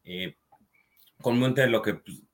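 A man's voice: medium pitch, unhurried. Mexican man chatting in a casual, anecdotal way. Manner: anecdotal, conversational. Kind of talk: casual chat.

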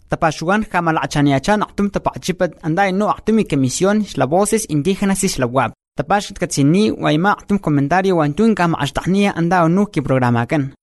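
A man's voice: sits high, no room sound, studio quality. A male radio host whose first language is Totonac, greeting listeners in a formal, friendly announcer manner.

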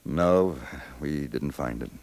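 An actor playing a composed, courteous but firm British gentleman adventurer in a 1950s radio drama, speaking formally. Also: a bass voice, exaggerated voice. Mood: quiet, sad. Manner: polite, measured, formal.

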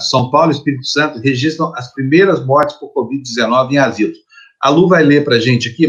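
A quick, chatty man hosting a morning news talk show. His voice comes through a dull, lo-fi line. He jokes easily with his co-hosts. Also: mid-range voice, a bit bright. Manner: chatty, joking, quick-talking. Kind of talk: casual news commentary. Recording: dull lo-fi sound.

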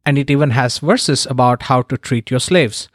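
A man from India hosts his own podcast in an earnest, emphatic monologue with the odd wry aside. His voice sits at an ordinary middle pitch. It is recorded close on the mic in a dry, deadened space. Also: moderate pace, no room sound. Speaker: podcast host.

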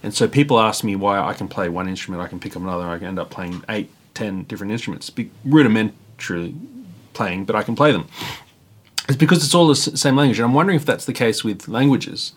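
A man hosting a conversational interview, asking curious questions and dropping in quick reactions. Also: on the low side, husky.

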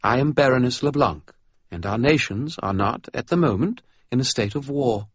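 A man's voice: medium pitch, expressive, normal speed, slightly rough, dry recording, highs cut off, voice pushed up. A man putting on an icy British vampire baroness. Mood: cold threat. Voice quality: precise, menacing.

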